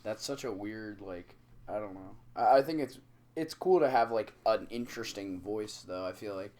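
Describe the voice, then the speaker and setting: A young man co-hosting a casual podcast, talking haltingly with many fillers and restarts, teasing and fond. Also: lowish voice, a little mellow. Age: young adult. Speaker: podcast co-host. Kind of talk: casual chat.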